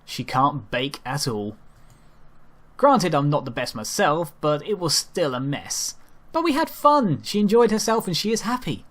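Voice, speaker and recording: Higher-pitched man reading a story aloud. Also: sing-song, fairly bright tone. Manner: halting amateur delivery with stumbles over lines. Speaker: amateur reader narrating a fan fiction story. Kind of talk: first-person story read-aloud.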